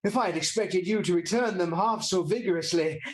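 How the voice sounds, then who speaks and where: An actor playing a wry young recruit. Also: male voice, high voice, brisk, lightly acted. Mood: dry. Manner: dry, wry, sardonic. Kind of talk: stage radio play acting.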